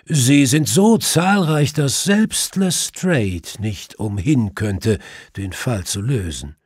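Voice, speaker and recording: Male reader doing a confident wry detective, commanding, husky, audiobook mystery. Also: mid-range voice, lively intonation, takes it slowly, no echo.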